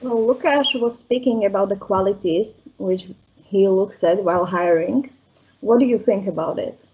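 A young woman moderating a panel on a video call, asking polite, steady questions. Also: mid-range voice, moderate pace. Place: bright room at home. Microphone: laptop webcam on a video call. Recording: dull lo-fi video call audio.

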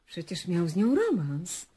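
Woman acting a tabloid reporter, radio play, husky, probing, coaxing, persistent. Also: on the low side, warm tone, exaggerated voice. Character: nosy, persistent female tabloid journalist.

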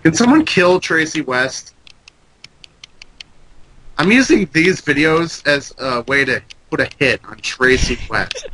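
A man's voice: on the high side, background noise. Congested guy swearing and ranting over a game, keyboard clacking in the background. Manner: joking, profane, ranty. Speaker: let's play co-host. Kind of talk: casual gaming commentary. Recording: loud keyboard typing behind. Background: computer keyboard clicks.

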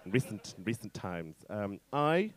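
A man in his thirties doing informal stand-up into a handheld mic, rambling, halting and sweary. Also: lowish voice, big pitch swings, background noise. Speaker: stand-up comedian. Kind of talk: stand-up comedy set, informal crowd banter. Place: on stage in a small indoor comedy club. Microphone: handheld stage microphone.

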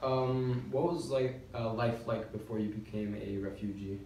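Teen interviewer, echoey and off-mic. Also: male voice, on the low side, steady tone, normal speed, darkish tone, far from the mic, a little hiss or hum. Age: teens. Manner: casual, hesitant questions. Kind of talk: interview questions.

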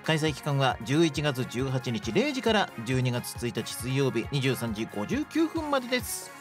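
A woman's voice: a bass voice, sing-song, normal speed. A woman reading a scripted promotional announcement as a radio show host. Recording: faint music behind the voice. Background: background music.

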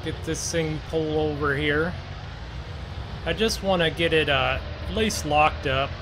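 Guy doing laid-back farming game role-play, talks slow, slightly high voice, engines running behind him. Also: steady tone. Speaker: gaming video host. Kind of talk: casual role-play gameplay commentary. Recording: engine and vehicle noise behind. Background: game vehicle engine sounds.

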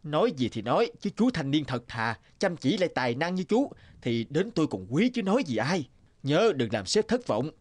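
A man's voice: fairly high pitch, sing-song, moderate pace. An actor voicing a friendly, teasing older male office colleague in a cartoon. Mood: teasing, jovial. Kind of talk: cartoon dialogue acting. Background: background music.

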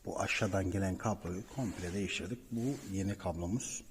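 Male repair technician, lowish register, informal explanatory walkthrough, recorded in a reverberant building corridor. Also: fairly quick. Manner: explanatory, addressing viewers directly. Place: indoors, apartment building hallway by cable shafts. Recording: reverberant stairwell, clear background noise.

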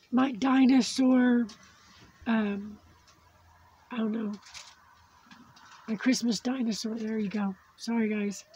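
A woman hosting a casual craft video, her voice worn from losing it after surgery, slowly chatting and cooing over small crafts. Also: fairly high pitch, warm tone, breathy edge, lo-fi sound. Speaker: crafting channel host. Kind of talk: casual unboxing chat. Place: indoors at a tabletop craft setup.

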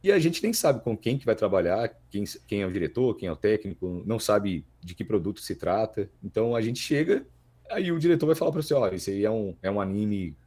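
A man in his thirties with a Brazilian accent, explaining things in a halting, casual podcast chat as a guest. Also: on the low side, very animated, dark tone. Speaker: guest, voice actor.

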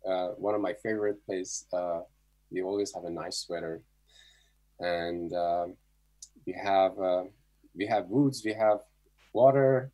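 A middle-aged man with an Iranian accent, a native Persian speaker, tells his story casually in halting English on a video call. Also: deep voice, dark tone, low-quality recording. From Iran. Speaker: guest photographer.

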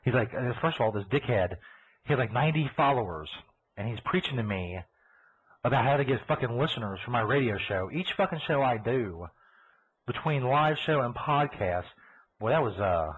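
A man's voice: mid-range voice, expressive, moderate pace, slightly rough. A man with a Kentucky accent hosting a live internet radio show, rambling in casual, profane chat and laughing as he goes. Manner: profane, laughing, talks over himself. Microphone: computer mic over a call line. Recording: tinny phone-like internet broadcast.

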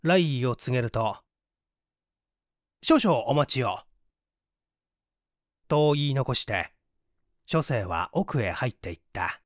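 A man's voice: medium pitch, big pitch swings, measured pace, dry recording, clean studio sound. A man narrating a story as a dramatic, scripted audiobook reading. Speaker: audiobook narrator, stage actor.

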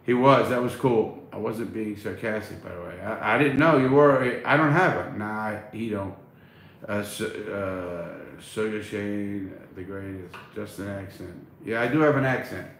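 A man's voice: fairly low pitch, unhurried, gravelly, fairly bright tone. A middle-aged man hosting a casual livestream, reading chat aloud and answering bluntly, swearing freely, recorded on a phone at arm's length. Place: small room at home, indoors. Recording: echoey room, faint noise.

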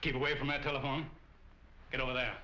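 An actor playing a suave, cold middle-aged murderer in a 1940 crime film, speaking in a clipped and controlled manner. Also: male voice, mid-range voice, little pitch movement, fast, bright, forceful tone, dull audio, natural voice. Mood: cold, menacing. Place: furnished apartment film set, indoors. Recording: band-limited, hissy 1940 film soundtrack.

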